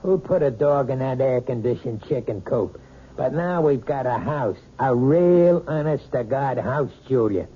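An actor playing a domineering, bitter husband who runs a trucking company, in a 1970s radio drama. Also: male voice, medium pitch, expressive, a little slow, mellow, husky. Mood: bitter, bullying. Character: bullying trucking boss husband, man, middle-aged. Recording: dull old radio broadcast with faint hiss.

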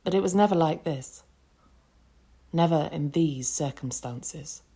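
A woman with a very low voice reads aloud from her own novel. She takes it slowly and deliberately, weighing each phrase. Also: mellow, husky. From England. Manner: slow, deliberate reading. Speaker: author reading her novel. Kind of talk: measured literary reading.

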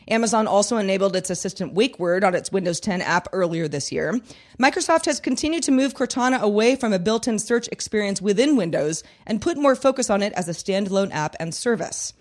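A woman presents scripted news headlines for a podcast. Her voice sits at an average pitch for a woman. She delivers each item in a measured, matter-of-fact newsreader manner.

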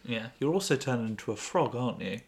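A man's voice: mid-range voice, expressive, quick pace, very noisy. A man reads his old story aloud on a comedy podcast, his voice rising and falling a lot. He often breaks into laughter at his own writing, then chats with his co-host before reading on.

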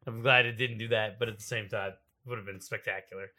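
A man's voice: on the low side, steady tone, quick pace, sharp tone. A man chatting casually and laughing often as a player in a tabletop game. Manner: giggly, rambling.

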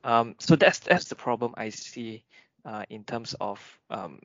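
A man's voice: medium pitch, unhurried, fairly bright tone, no room sound. A man in a casual discussion, rambling with many fillers and restarts. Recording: dull dry recording.

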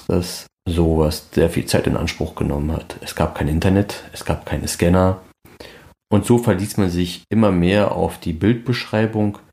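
Male podcast presenter, deep voice, scripted documentary-style narration. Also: vocal fry, dark tone.

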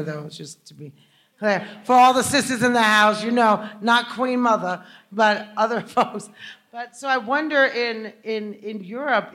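A woman moderates a conference panel, posing long, winding questions. She talks conversationally and leans into emphatic asides. Her voice sits at a middle pitch. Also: a bit bright.

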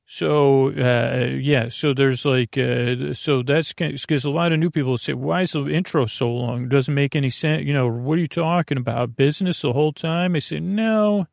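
A man hosting a bedtime podcast in a creaky, gravelly voice, rambling in a halting, meandering way and drifting into tangents mid-sentence. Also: mid-range voice, darkish tone, dry recording, studio quality. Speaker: podcast host. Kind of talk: rambling bedtime monologue for falling asleep.

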